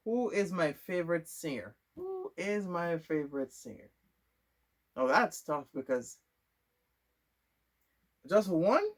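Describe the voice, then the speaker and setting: A man's voice: a little high, very animated, slow pace, pauses often. A young man from Jamaica, answering questions about himself in a loose, rambling vlog monologue full of fillers and restarts. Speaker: vlogger. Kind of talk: casual Q&A monologue.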